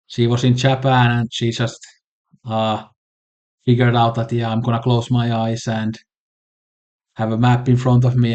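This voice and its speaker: A man from Finland with a Finnish accent, casually reviewing films in a conversational way. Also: mid-range voice. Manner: relaxed, conversational, with fillers like "kinda" and "like". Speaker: film reviewer.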